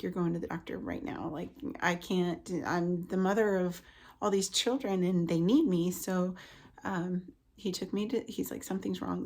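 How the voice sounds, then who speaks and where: A woman in her late thirties telling a personal health story casually and haltingly, her voice scratchy from the tail end of a cold. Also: fairly low pitch, vocal fry, a little mellow. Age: late 30s. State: getting over a cold with a lingering cough. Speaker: vlogger, stay-at-home mother.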